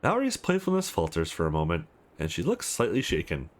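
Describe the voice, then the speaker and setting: A man with a fairly low voice reads a visual novel aloud as a let's play host. His pitch swings very widely as he performs the text. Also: huge pitch swings, fairly quick. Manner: acts out dialogue, stumbles over read text. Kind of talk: reading visual novel dialogue and narration aloud.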